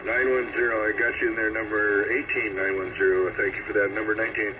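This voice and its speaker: A man's voice: fairly low pitch, flat delivery, fast talker. Man on tinny, static-filled CB radio. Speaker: radio roll-call host. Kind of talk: CB radio net check-in calling. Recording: noisy, tinny CB radio transmission. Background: loud radio static.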